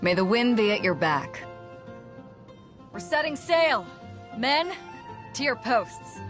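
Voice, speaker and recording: Female sea captain, video game character, mid-pitched, confident, breezy, hearty, clear background music. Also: animated, unhurried, a bit bright. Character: bold, hearty, easygoing female pirate ship captain. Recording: music behind the voice.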